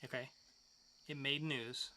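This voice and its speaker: Bright-voiced man co-hosting a podcast. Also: medium pitch, fairly even intonation, takes it slowly. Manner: casual, swears freely. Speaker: podcast co-host. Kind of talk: casual banter.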